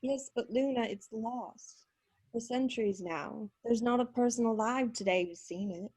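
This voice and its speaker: A woman playing a bookish, know-it-all teenage witch in a screenplay table read, husky and earnestly explanatory. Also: fairly high pitch, moderate pace, a little mellow.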